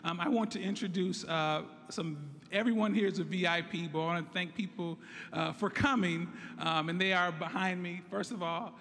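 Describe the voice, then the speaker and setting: A hoarse man from San Francisco, a site director, giving an earnest ceremonial speech that thanks and introduces guests. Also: high-pitched, steady tone, moderate pace, a bit bright. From San Francisco, United States. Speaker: site director of a youth college program. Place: large hall at a groundbreaking event. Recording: echoey hall with crowd murmur.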